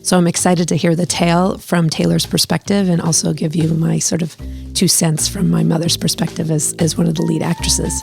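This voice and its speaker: A woman hosting a podcast, chatty and quick to laugh, with a slightly scratchy voice. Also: lowish voice, level tone, gravelly, darkish tone, faint music behind. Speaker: podcast host. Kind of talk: casual podcast interview.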